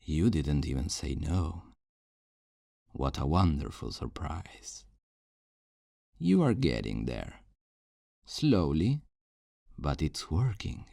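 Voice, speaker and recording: A man's voice: a bass voice, very animated, creaky, warm tone, dry recording, clean studio sound, heavily acted. A man playing a possessive, falsely tender kidnapper in an intimate ASMR roleplay, coaxing and condescending with veiled menace. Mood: pleased, coaxing. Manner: slow, coaxing, condescending endearments with veiled threats.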